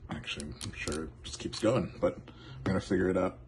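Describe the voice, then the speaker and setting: Low-voiced man reviewing gear over noise. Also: brisk. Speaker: product reviewer. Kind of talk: casual unscripted product demo. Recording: clearly noisy background with clicks.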